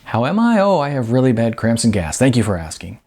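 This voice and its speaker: A man's voice: medium pitch, big pitch swings, fairly quick, a little mellow. Guy reading as a sarcastic young actress, dry and fed up, voice swinging up and down. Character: wry young woman, frustrated actress.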